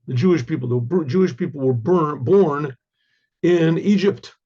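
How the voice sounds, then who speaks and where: An older hoarse man, a rabbi, giving a Torah class with halting restarts and frequent throat clearing. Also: a little high, mellow, highs cut off.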